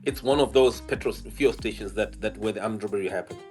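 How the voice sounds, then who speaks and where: A man's voice: fairly low pitch, fast, a little mellow, soft background music. A man with a Zimbabwean accent, speaking as an investigative journalist in a livestream interview, emphatic and combative, repeating phrases for stress.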